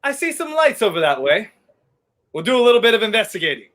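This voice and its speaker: Man playing a high-pitched, animated sardonic fugitive. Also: fast. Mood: brisk, conspiratorial. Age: young adult. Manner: sardonic, commanding. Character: brash wrongly accused man on the run, sardonic, urgent. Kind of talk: 1930s radio play acting.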